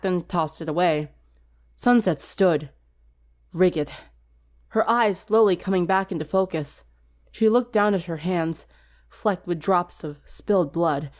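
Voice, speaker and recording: A woman reading a scripted story aloud as a dramatic narrator. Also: mid-range voice. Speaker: fan fiction narrator.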